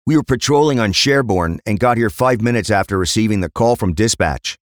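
A man's voice: on the low side, a little fast, no room sound, studio quality, voice pushed up, close to an everyday voice. A man voicing an earnest, deferential police constable in an audiobook crime story. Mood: dutiful reporting. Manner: deferential, dutiful.

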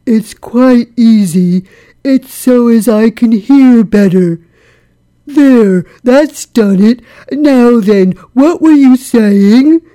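A woman voicing a gloomy, weary old donkey from a children's storybook, ponderous and mournful, with a sardonic, deadpan manner. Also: on the high side, very slow, pitched above the performer's own voice, poor audio. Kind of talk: children's storybook character reading.

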